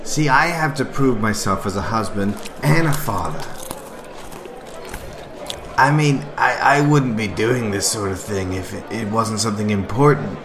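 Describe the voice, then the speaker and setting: An actor playing a timid, tipsy failed comedian in an audio drama, hesitant, self-pitying and rambling. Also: male voice, lowish voice, a little slow, noisy background. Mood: anxious, self-pitying.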